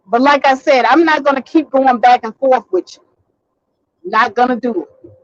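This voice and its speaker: A woman hosting a casual live stream from her car, talking bluntly and swearing freely, getting a little hoarse. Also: high-pitched, moderate pace, no room sound, highs cut off. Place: in a vehicle, driving.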